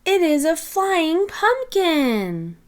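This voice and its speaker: A woman reading a picture book aloud to young children, expressive, with clearly separated phrases. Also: extremely high pitch, very slow. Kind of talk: animated read-aloud storytelling for young children.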